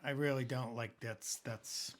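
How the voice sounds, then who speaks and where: A man's voice: mid-range voice, steady tone, a little slow. A young man in his twenties co-hosting a casual podcast, making wry, joking asides and often restarting his sentences. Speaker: podcast co-host.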